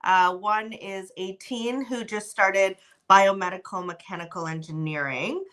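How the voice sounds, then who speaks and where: Bright-voiced woman in her forties. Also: medium pitch, a little slow. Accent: slight Texan. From Canada. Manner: bubbly, animated storytelling. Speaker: podcast guest. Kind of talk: casual interview chat. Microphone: tablet mic on a video call.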